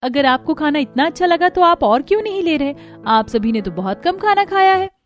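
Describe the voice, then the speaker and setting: A woman's voice: high-pitched, animated, moderate pace, soft background music. A woman voicing a polite young daughter-in-law in a Hindi family cartoon. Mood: concerned, fed up. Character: polite young daughter-in-law, dutiful but quietly fed up.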